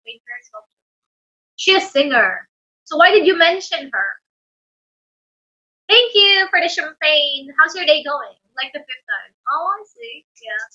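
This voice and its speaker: A young Filipino woman with a high, very bright voice and a Filipino accent, chatting to viewers on her live stream. She talks close to a phone in a small room, and the sound is dull and lo-fi. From Philippines. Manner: chatty, thanks viewers for gifts, laughs often. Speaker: livestream host. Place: indoor bedroom with string lights. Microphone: phone on a stand, close.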